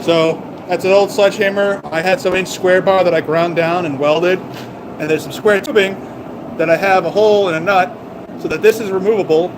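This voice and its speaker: A man's voice: high-pitched, steady tone, moderate pace, noisy background. A man chatting casually to his live viewers while working in a small garage workshop, rambling and joking as he talks. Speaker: blacksmith streamer. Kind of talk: casual shop talk to live chat.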